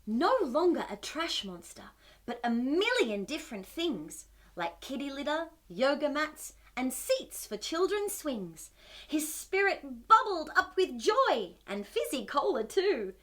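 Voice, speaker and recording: A woman hosting a children's storytime, reading a picture book in an animated way and putting questions to her young listeners with exaggerated wonder. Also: sits high, measured pace.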